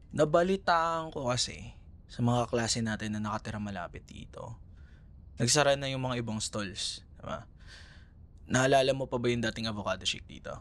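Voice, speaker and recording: Casting: male actor as young Filipino ex-boyfriend for audio roleplay; teasing yet caring, conversational, hesitant, lively swings in intonation. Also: mid-range voice, exaggerated voice. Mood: flustered, hesitant. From Philippines. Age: young adult. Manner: hesitant, casual, giggly, conversational. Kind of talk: ASMR roleplay, casual one-sided conversation.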